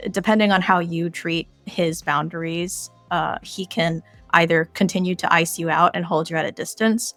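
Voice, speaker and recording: Woman with a low, creaky voice. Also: fairly bright tone, no echo. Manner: informal, explanatory, peppered with "like" and "kind of". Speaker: game creator being interviewed. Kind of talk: casual podcast interview talk.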